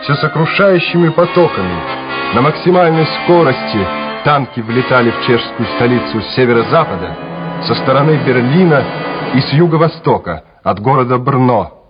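A man narrates a war story for a radio play in a scripted, dramatic style. His pitch sits in the middle range, and music plays softly behind him on a slightly dull recording. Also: expressive, moderate pace.

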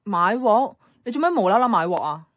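A woman's voice: medium pitch, lively intonation, brisk, fairly bright tone, no room sound. A woman with a Hong Kong Cantonese accent playing a guarded, blunt young office worker in a radio drama, speaking in a dry, matter-of-fact way. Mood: guarded, curt. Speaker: radio drama actress and first-person narrator. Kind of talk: radio drama narration and dialogue. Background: background music.

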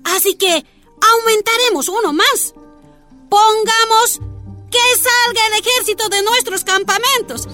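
An actress playing a cautious, shrewd female union leader in a radio drama, deliberate and persuasive, with a Bolivian accent. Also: very high-pitched, sharp tone, soft background music, heavily acted. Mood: shrewd, instructing. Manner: deliberate, drawn-out, reasoning.

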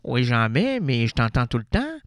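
A man plays a doting, exasperated Quebec husband in an absurd radio comedy. He is wordy and mock-solemn, easily flustered, with animated pitch in a very dry recording. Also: normal speed, no echo. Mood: weary, doting. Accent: Quebec French. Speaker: radio show host.

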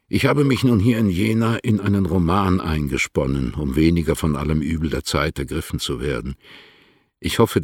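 An older poet reading his letters in a radio play. The voice is very low and creaky, with a husky grain. He is courtly, formal and reserved, and the sound is dry and close. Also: dry recording, exaggerated voice. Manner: formal, deliberate, measured.

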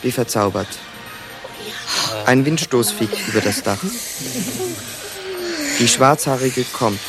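A detached male narrator with a medium-pitched voice announces scenes and stage directions. It is scripted radio drama, with music playing clearly behind him. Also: takes it slowly.

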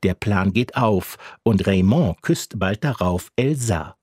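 Guy doing scripted radio review, deep-ish and raspy, really dry sound. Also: lively intonation, takes it slowly, a little mellow, no echo. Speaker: radio critic and reporter.

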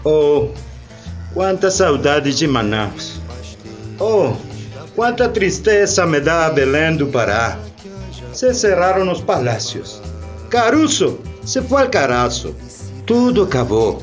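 Radio play: grieving, nostalgic Brazilian reveller, high swooping slow lament over music. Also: male voice, very animated. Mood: mournful. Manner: lamenting, melodramatic. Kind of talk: radio drama acting.

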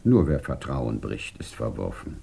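A grave older man, with an extremely deep, creaky voice. He speaks solemnly and gently, in a mellow tone, like a moral counsellor in a 1950s radio drama. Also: big pitch swings, unhurried, heavily acted. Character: compassionate, grave older man, long-time emigrant offering moral counsel. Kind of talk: 1950s German radio play acting.

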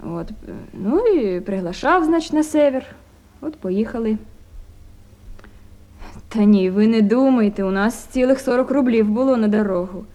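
A woman's voice: fairly high pitch, animated, a little slow, pauses often, warm tone. An actress playing a poor, anxious village mother with a Ukrainian accent, rambling and plaintive in a naturalistic radio drama. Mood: plaintive, apologetic.

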